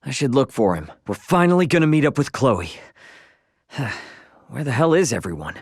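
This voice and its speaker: A man's voice: medium pitch, very animated, normal speed, breathy edge, dry recording, studio quality. A man playing a brash, casual young video game adventurer, slangy and wry, impatient but good-hearted. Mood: curious, wary. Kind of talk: video game character voice lines.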